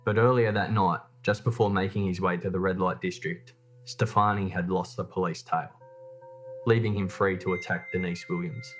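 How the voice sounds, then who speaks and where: Low-pitched man narrating true crime. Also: fairly even intonation, soft background music. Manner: measured, matter-of-fact storytelling. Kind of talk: scripted documentary narration.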